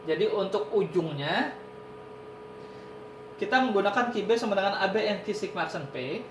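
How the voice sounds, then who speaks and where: A man's voice: expressive, normal speed. A man giving an online university lecture, explaining step by step and often saying "nah" and "ini". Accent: Indonesian. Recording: echoey room with noise and rumble.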